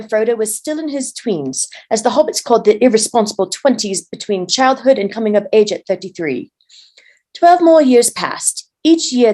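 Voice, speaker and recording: A woman's voice: a little high, a little mellow. Woman reading a storybook aloud. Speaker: volunteer story reader. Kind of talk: storybook read-aloud narration.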